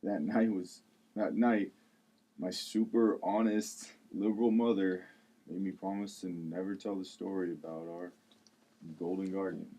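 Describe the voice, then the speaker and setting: A man playing a very deep, husky confidant. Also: steady tone, a little slow, mellow. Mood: reflective, confiding. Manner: confiding, conversational storytelling. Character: earnest, protective man confiding a childhood story. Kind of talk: script table read acting.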